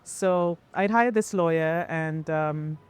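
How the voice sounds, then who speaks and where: A woman in her thirties from Nigeria, a guest telling her story with earnest, expressive feeling, laughing often, seated in a restaurant. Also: low voice, slow pace, clean tone. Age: 30s. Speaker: guest filmmaker. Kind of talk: candid storytelling.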